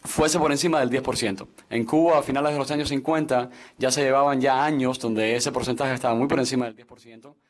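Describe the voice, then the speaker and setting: A man's voice: medium pitch, steady tone, quick pace. Young man answering, hesitant, roomy and distant. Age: young adult. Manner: hesitant, many fillers and restarts. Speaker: book co-author on a panel. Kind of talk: explanatory panel answer. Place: conference room, seated at a panel table. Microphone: table stand mic.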